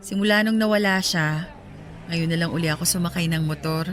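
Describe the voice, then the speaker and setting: A woman playing a gentle, wistful young working woman in grief, soft-spoken and apologetic, in a radio drama. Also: on the low side, a little fast, a little hiss or hum, natural voice. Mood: sad, subdued.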